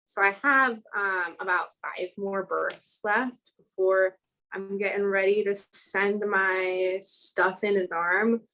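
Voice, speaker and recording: A young woman from Chicago talks casually as a podcast guest. She chats freely and peppers her speech with "like". Her voice sits at a middle pitch. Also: steady tone, takes it slowly, sharp tone, rough recording. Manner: chatty, many "like" fillers. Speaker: student midwife, guest. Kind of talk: casual interview chat.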